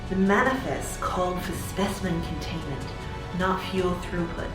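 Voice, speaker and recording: A woman playing a sharp, skeptical military analyst in a sci-fi audio drama, clipped and analytical. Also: fairly low pitch, moderate pace, natural voice. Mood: probing. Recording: roomy echo under prominent music.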